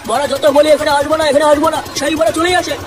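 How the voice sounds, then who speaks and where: Someone voicing a high-pitched, bossy old cartoon mason. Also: level tone, racing, lightly acted. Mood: angry. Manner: bossy, gruff scolding. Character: grumpy, foul-mouthed old cartoon mason, bossy boss. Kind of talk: comedy cartoon dub. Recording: noisy, distant-sounding cartoon soundtrack.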